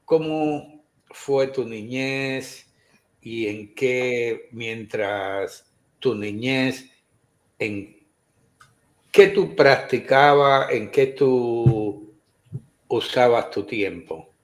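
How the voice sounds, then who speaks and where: A man co-hosting an online interview over a video call, asking questions in a halting way with many fillers. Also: medium pitch. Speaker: co-host.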